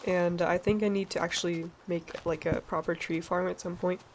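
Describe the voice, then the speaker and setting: A young woman from Sweden with a stuffy, nasal voice, chatting casually and laughing as she narrates a relaxed gameplay session. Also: lowish voice, normal speed, slight background noise. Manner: chatty, rambling, laughs often. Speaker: let's play gamer.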